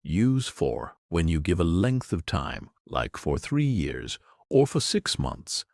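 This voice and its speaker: A man giving a scripted English lesson, explaining clearly and patiently in an encouraging way. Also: deep voice, sing-song, takes it slowly, a little mellow, dry recording, clean studio sound. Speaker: English teacher. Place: in Europe.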